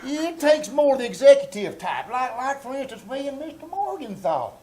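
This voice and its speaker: A man acts out a self-important backwoods Southern man in a live old-time radio comedy. The voice is high and very animated, with a blustering, folksy drawl that is quick to exasperation. Faint noise from the live stage sits behind it. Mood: condescending. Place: small theater stage before audience. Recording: live small theater stage, background noise.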